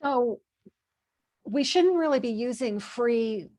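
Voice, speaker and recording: A woman's voice: fairly high pitch, lively intonation, takes it slowly, darkish tone. A woman hosting an interview in a conversational style. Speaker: interviewer, host.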